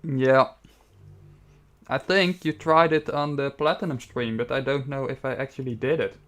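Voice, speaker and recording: A man's voice: medium pitch, fairly even intonation, normal speed, a bit bright, soft background music. A young man streaming a game, chatting casually with a guest and laughing easily, on a desktop mic. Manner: laid-back, laughs often.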